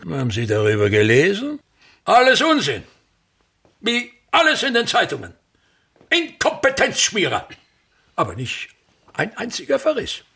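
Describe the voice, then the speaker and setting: An actor playing a vain, bitter, aging stage star in a pompous, declamatory radio drama monologue. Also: male voice, high-pitched, huge pitch swings, a little slow, gravelly, pauses often, sharp tone, slightly rough, full character voice. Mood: scornful ranting.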